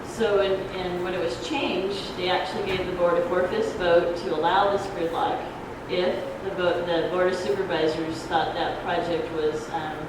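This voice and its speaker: A soft-voiced woman giving an informal explanatory talk at a public forum, speaking in a halting, hesitant way. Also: lowish voice, little pitch movement. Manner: halting, hesitant, loses her thread. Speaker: ballot measure proponent, debate speaker. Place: community grange hall. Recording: echoey hall, distant noisy microphone.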